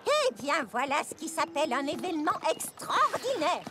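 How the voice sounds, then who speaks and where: A party-loving young cartoon mare, bubbly and gushing. Her voice is extremely high and bounces around in pitch, over loud background music. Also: sing-song, moderate pace. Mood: confident. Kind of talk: French cartoon dub. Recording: music behind the voice.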